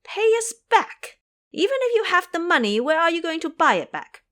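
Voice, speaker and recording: A woman voicing an excitable young Taoist boy attendant who talks in an alarmed, rapid way. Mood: agitated. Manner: alarmed, quick, high.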